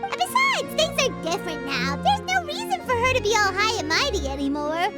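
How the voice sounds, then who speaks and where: A woman voicing a small, cheeky, childlike fairy companion in a video game, bubbly and excitable. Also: very high-pitched, a little fast. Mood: eager. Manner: refers to self in third person, bubbly. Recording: loud music behind. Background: loud playful background music.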